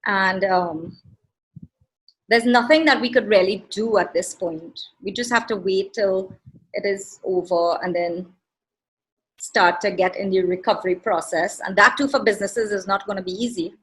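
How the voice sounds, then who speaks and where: A woman's voice: medium pitch, moderate pace, fairly bright tone, poor audio. A middle-aged woman from India with a Trinidadian accent, talking earnestly in an interview with many fillers and restarts. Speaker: guest. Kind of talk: casual interview chat.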